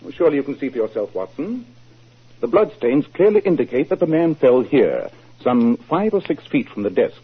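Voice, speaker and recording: Casting: an incisive English sleuth, husky and widely inflected, deliberate delivery, vintage radio sound. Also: male voice, mid-range voice, sing-song. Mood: explaining, assured. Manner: deliberate, authoritative, deductive. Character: shrewd, self-assured male English detective, deliberate and incisive. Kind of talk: 1940s radio drama acting. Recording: muffled 1940s radio broadcast recording.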